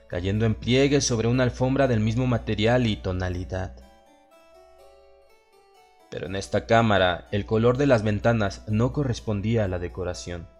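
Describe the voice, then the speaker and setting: Latin american spanish guy reading a horror story, deepish voice, solemn and dramatic, faint music behind. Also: darkish tone. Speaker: audiobook narrator. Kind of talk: dramatized horror audiobook narration.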